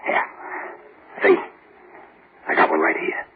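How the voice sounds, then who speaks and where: An actor playing a streetwise death-row convict who talks in tough slang, in old radio drama style. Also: male voice, takes it slowly, natural voice. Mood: scheming. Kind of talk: 1940s radio acting. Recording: tinny hissy old radio broadcast.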